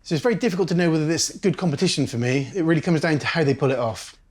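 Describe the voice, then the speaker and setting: A man's voice: on the high side, very fast. A British man talking to camera, blunt and opinionated. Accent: British English. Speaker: dinner party guest. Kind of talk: casual interview to camera.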